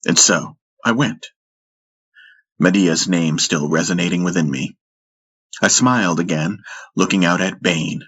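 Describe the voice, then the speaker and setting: A man narrating first-person fiction in a measured, dramatic storytelling manner. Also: low-pitched, a bit bright, husky. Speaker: audiobook narrator.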